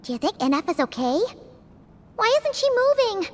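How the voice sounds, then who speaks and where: Squeaky, tiny excitable companion creature. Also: female voice, moderate pace. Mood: worried. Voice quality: squeaky, childlike. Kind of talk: dubbed video game cutscene acting.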